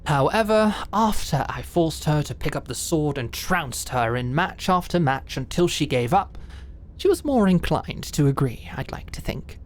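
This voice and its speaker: Woman acting a lady knight, deep for a woman, formal n measured, guarded, fantasy audio drama. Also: big pitch swings. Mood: firm, formal.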